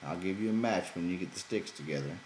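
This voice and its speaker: A man voicing a gruff, bossy ranch hand in an exasperated rustic dialect for an audiobook. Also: sits low, quick pace, darkish tone, natural voice. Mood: matter-of-fact. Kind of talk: character voice in audiobook reading. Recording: roomy echo, background noise.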